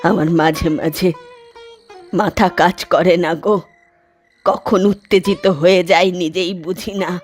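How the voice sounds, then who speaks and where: A woman's voice: fairly low pitch, fairly even intonation, a little slow, pauses often, faint music behind. A woman voicing a grieving, affectionate old village aunt in a cartoon, with a plaintive, homely manner. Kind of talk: cartoon voice acting.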